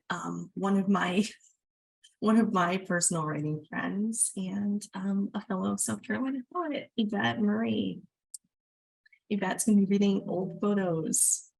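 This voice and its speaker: A woman hosting an event in a casual, chatty way, speaking haltingly. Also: mid-range voice, vocal fry, darkish tone, husky, poor audio. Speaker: event host.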